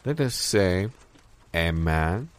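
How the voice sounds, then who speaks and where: A man putting on a mock French accent as a grandiose, scheming entrepreneur in an improvised comedy sketch, florid and deliberate. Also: sits low, expressive, voice pushed down.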